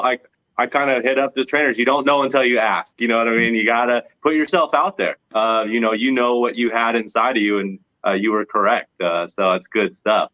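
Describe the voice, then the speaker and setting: A man's voice: medium pitch, bright, forceful tone, no echo, muffled recording. A man hosting a podcast, speaking casually and rambling with repeated phrases. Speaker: podcast host. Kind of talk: casual chat.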